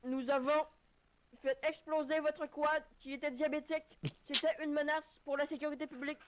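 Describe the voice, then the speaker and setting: High-voiced woman as a crackpot medic for improvised game roleplay, solemnly formal, crusading and earnest. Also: level tone, normal speed, slight background noise, natural voice, poor audio. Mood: earnest, mock-formal. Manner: mock-formal, declarative. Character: deluded, earnest medic crusading against diabetes in vehicles.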